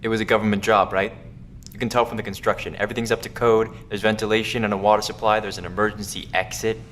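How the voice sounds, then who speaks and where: A man plays a sharp, know-it-all survivor in an audio drama. His voice sits fairly low, inquisitive, with slight noise behind it. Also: level tone, quick pace, lightly acted. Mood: inquisitive, smug. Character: sharp-eyed, know-it-all male survivor, eagerly deducing.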